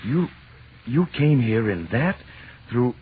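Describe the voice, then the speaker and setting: An actor playing an awestruck, frightened and insistently curious husband, stammering urgent questions in an old-time radio horror drama. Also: male voice, mid-range voice, very animated, slow pace. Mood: bewildered, awed. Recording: narrow-band old radio broadcast with hiss.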